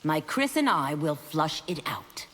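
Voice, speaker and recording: A resolute demon warrior from a fighting game. Her voice is low and expressive, solemn and formal, carried on long reverb. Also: unhurried, heavily acted. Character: resolute female demon seeking redemption. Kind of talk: video game character dialogue. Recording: long reverb, faint noise.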